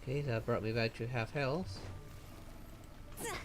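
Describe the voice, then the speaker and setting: A woman's voice: very deep voice, a little slow, over loud music. A woman streaming a video game with casual, off-the-cuff commentary. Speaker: gaming streamer.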